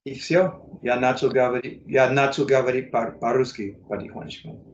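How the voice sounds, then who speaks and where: An American man, a native English speaker, speaking learner's Russian in a casual interview, halting with many fillers and self-corrections. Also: medium pitch, fairly even intonation, reverberant, lo-fi sound, a little hiss or hum. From United States. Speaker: interview guest, English teacher.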